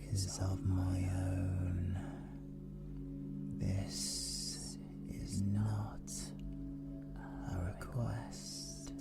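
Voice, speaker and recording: An ancient, possessive lord of darkness with a British accent, in a fantasy audio drama. He speaks in a low, gravelly whisper and extremely slowly, with grand, breathy menace. Music plays heavily underneath. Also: exaggerated voice. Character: ancient dark demon lord, sinister and possessive. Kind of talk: fantasy audio roleplay monologue.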